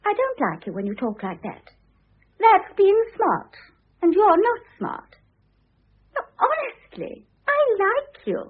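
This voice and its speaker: A spoiled young society wife in an old radio play. Her high voice leaps and swoops with breezy, selfish flippancy. The recording is narrow and muffled. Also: wildly swooping pitch, slow pace, exaggerated voice. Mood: coaxing. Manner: breezy, flippant, self-pitying. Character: vain, grasping, frivolous young society wife in her twenties. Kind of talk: classic stage-style radio drama acting.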